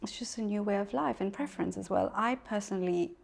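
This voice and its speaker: A woman's voice: medium pitch, quick pace, vocal fry. A middle-aged woman author from Mauritius, chatting as an interview guest, laughing often and restarting her sentences. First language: English. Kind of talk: casual interview conversation.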